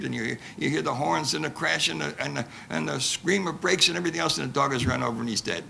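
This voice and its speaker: An older man, a veteran radio actor telling anecdotes to an audience in an animated way. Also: fairly high pitch, gravelly. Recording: noisy live event recording.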